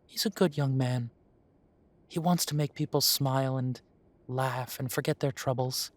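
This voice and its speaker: An actor playing a kindly café owner in a fantasy town, talking to the listener in an unhurried, courteous, slightly formal way in a roleplay audio. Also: male voice, medium pitch, fairly even intonation, dry recording, close to an everyday voice. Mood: sympathetic, sad.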